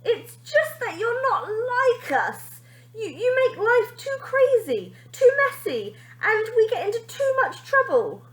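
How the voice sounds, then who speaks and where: A woman's voice: very high voice, sing-song, slow, voice pushed up, heavily acted, rough recording. A woman voicing an earnest, awkward little schoolboy in a storybook, emphatic and pleading. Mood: awkward, reluctant.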